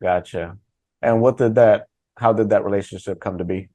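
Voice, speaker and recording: A man's voice: on the low side, fairly even intonation, moderate pace, warm tone, no room sound. A man hosting a podcast interview, casual and informal, encouraging his guest. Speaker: podcast host, author.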